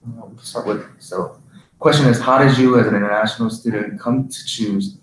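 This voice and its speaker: A young man from South Korea, a student panelist chatting casually with frequent fillers. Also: fairly low pitch. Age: young adult. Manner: relaxed, halting with fillers. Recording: roomy with faint hiss.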